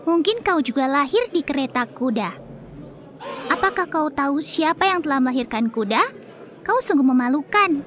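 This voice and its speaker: Woman playing a high-voiced, cheeky little girl. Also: animated, moderate pace, fairly bright tone. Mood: defiant. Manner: cheeky, outspoken. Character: bold, clever little peasant girl. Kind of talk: animated cartoon dub.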